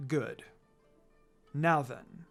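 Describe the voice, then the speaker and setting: A reader voicing a stern, cold male ninja commander who trains students, speaking in a commanding, deliberate, menacing way in a fan fiction reading. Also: mid-range voice, creaky, fairly bright tone, voice pushed down, full character voice. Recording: music under the voice.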